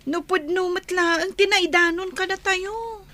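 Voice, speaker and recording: A woman's voice: very high voice, steady tone, measured pace, pushed and bright, exaggerated voice. A woman playing an anxious young granddaughter in a radio drama, with a plaintive, questioning way of talking. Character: anxious young granddaughter who misses her mother.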